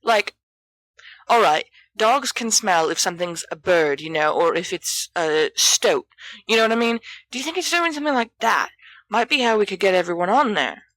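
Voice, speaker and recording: A woman's voice: medium pitch, very animated, moderate pace, fairly bright tone, no echo, voice pushed up, rough recording. A woman voicing a confident, bossy teenage schoolboy who thinks aloud casually in an audiobook story. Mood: thinking aloud. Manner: casual, half-finished musing sentences.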